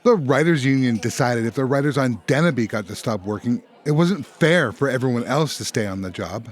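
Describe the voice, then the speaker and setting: A man's voice: mid-range voice, lively intonation, brisk, lightly acted. A man playing a grumpy, matter-of-fact bartender in a comedic audio drama, with a blunt manner.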